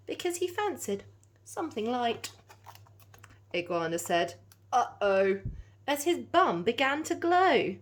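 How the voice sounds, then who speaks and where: A woman reads a children's storybook aloud in the manner of a teacher. Her delivery is very expressive, with pitch that moves widely from phrase to phrase.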